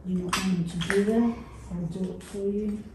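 A woman on a ghost hunt, calmly and methodically giving instructions to spirits through dowsing rods in a dark abandoned room. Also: mid-range voice, noisy background. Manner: calm, instructive, measured. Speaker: paranormal investigator. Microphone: camera from across the room. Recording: echoey distant room sound.

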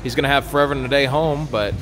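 A man's voice: medium pitch, rapid-fire, over music. A man in his thirties co-hosting a golf vlog, giving playful commentary with mock sportscaster asides in an indoor golf simulator bay.

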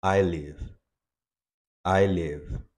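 A man's voice: deep voice, fairly even intonation, extremely slow, darkish tone. A man teaching English to Portuguese speakers, giving each phrase in Portuguese and then saying the English twice. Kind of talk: slow bilingual drill lesson.

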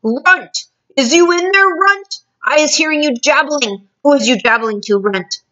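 Woman as a booming, brutish bully of a giant. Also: steady tone, normal speed, lo-fi sound. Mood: menacing. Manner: booming shouts, garbled ungrammatical insults. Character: huge brutish bullying male man-eating giant.

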